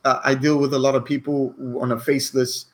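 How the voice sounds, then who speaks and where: A man's voice: medium pitch, little pitch movement, brisk. Guy in his 40s, rambling earnest coach talk to camera, keeps saying okay. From Cyprus. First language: Greek. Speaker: online business coach.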